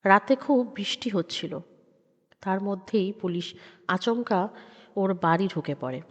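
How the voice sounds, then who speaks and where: A woman's voice: medium pitch, measured pace. A woman reading a horror story as a dramatic, suspenseful narrator. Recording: dull sound with long reverb.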